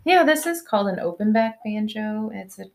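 A relaxed woman guest musician, chatting in a casual interview. Her voice sits at an ordinary pitch, and she laughs easily while explaining things gently. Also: moderate pace, crowd noise.

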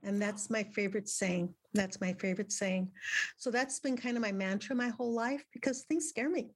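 An older woman from Mexico, a native Spanish speaker, telling her life story as a podcast guest in a lively, laughing way with many restarts. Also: medium pitch, moderate pace, husky. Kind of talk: casual storytelling interview.

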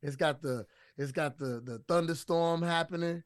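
A man with a husky voice, talking loosely with lots of restarts and fillers as an interview guest. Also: on the high side, moderate pace, no echo, studio quality. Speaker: rapper guest. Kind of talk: casual interview talk.

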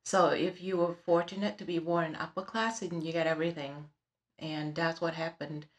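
A middle-aged woman with a Vietnamese accent telling her life story in an interview, halting with many fillers and restarts, recorded in an office with background noise. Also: low-pitched, level tone. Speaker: interviewee, realtor. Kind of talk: personal life story in conversation.

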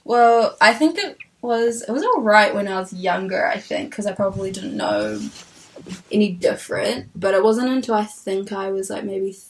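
A woman's voice: mid-range voice, moderate pace. Chatty woman in her twenties. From Ethiopia, raised in New Zealand. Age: 20s. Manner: chatty, giggly storytelling with many fillers. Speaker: interviewee. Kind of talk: casual personal chat.

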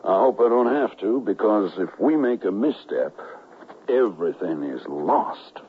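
A man's voice: fairly low pitch, lively intonation, a little slow, very noisy. An actor playing a cautious, businesslike frontier man in a radio western, guarded and urgent in manner. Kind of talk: radio western acting and narration. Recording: narrow-band vintage radio drama, music behind.

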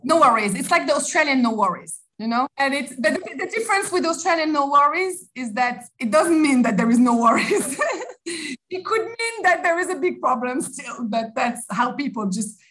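A woman's voice: high-pitched, lively intonation, normal speed, a bit bright, a little hiss or hum. Moroccan-accented woman teaching phrases. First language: Arabic and French. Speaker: language teacher guest.

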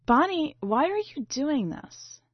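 Woman doing a snappy fed-up sister in a story, higher voice, dismissive, slightly muffled. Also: big pitch swings, slow pace, dry recording, full character voice. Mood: exasperated. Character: scornful, exasperated girl, narrator's sharp-tongued sister. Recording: slightly dull recording.

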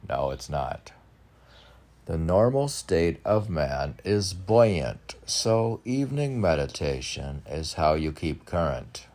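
A man's voice: sits low, big pitch swings, slow pace, darkish tone. A man reading poetry and commenting on it in rambling, casual asides, often as if talking to himself. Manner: talks to himself in questioning asides. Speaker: poet reading and commenting on own poems.

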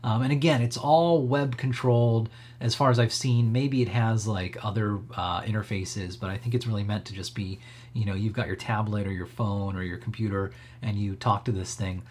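A man giving a casual, upbeat software walkthrough as a tech stream host, talking in an easygoing, approving way. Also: lowish voice, fairly even intonation, normal speed.

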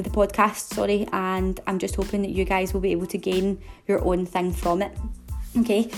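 A young woman with a Glasgow accent hosts a solo podcast episode, talking in a bubbly, upbeat and chatty way. Her voice sits in a middle pitch for a woman, and faint music plays underneath. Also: a little mellow. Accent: Glaswegian. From Scotland. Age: 20s. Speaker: podcast host.

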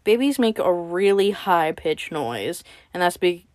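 A woman's voice: on the low side, very animated, unhurried, darkish tone, dry recording. A young woman co-hosting a podcast, chatting casually and teasing her friend with dry jokes. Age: young adult. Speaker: podcast co-host. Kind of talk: casual chat.

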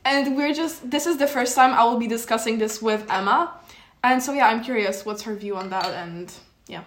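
A woman's voice: fairly high pitch, moderate pace. A teenage girl from Poland co-hosting a podcast, talking casually and hesitantly with many fillers and restarts. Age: teens. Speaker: podcast co-host. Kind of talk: casual chat. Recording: echoey room.

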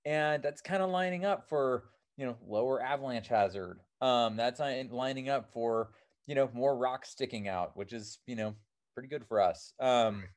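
A man's voice: medium pitch, normal speed. A man in his thirties with an American accent, born in New Zealand and raised in Seattle, answering interview questions casually with many fillers. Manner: rambling, many fillers like "um" and "you know". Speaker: alpine climber and filmmaker, guest.

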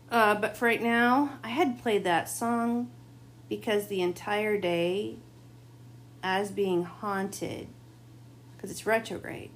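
A woman doing a late-night tarot reading, talking in a rambling, confiding way, her voice gone a bit raspy. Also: lowish voice, slight background noise. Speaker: tarot reader. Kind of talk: late-night spiritual monologue.

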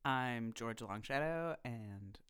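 A man's voice: mid-range voice, expressive, slow, fairly bright tone, clean tone. A man in his thirties from Vancouver, a podcast guest, chatting loosely with lots of "like". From Canada (Vancouver). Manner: rambling, many "like" fillers. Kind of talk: casual conversation.

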